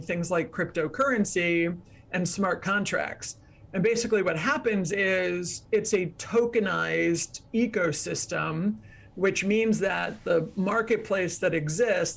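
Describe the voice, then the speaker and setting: A woman's voice: lowish voice, vocal fry, a bit bright. A woman speaking as an expert guest, explaining things step by step and defining her terms as she goes. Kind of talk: explanatory interview talk.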